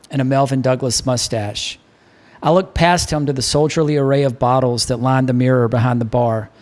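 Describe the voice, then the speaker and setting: Male audiobook narrator, mid-pitched. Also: darkish tone. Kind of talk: scripted first-person novel narration.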